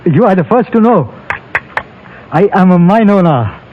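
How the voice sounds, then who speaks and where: A courteous Chinese merchant in an old radio Western, with a high voice and a Chinese accent. His phrasing is formal, trusting and measured. The recording is dull and muffled. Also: animated, a little hiss or hum. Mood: proudly excited. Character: courteous, trusting older Chinese storekeeper and mine owner. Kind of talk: old-time Western radio drama acting.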